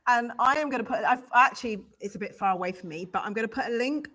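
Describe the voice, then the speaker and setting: A British woman hosts a live video-call show, bubbly and loose as she introduces guests. Her voice sits a touch high, and her talk meanders with playful slips. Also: quick pace, a bit bright. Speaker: host. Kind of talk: casual live-stream interview.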